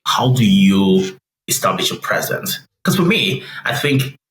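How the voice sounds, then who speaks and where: A man in his forties, a guest telling his career story in an animated way and acting out quoted voices. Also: deep voice, a little slow. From Zimbabwe. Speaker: guest business analyst. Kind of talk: interview storytelling. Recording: roomy with faint noise.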